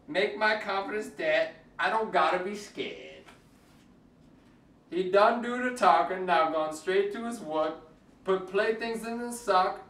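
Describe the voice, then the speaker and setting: A man's voice: sits high, fairly bright tone. A man reads a storybook aloud in a theatrical, playful, exaggerated way. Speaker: storyteller reading a picture book aloud. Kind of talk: theatrical storybook reading in Cajun dialect. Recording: echoey room, distant mic.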